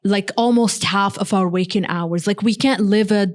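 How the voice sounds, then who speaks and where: A young woman who grew up in Morocco speaks as a podcast guest. She talks earnestly and loosely, leaning on "like" and "um" between thoughts. Her voice sits at a middle pitch. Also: moderate pace. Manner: heavy use of "like" fillers, earnest. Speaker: guest, software engineer. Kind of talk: casual conversational interview.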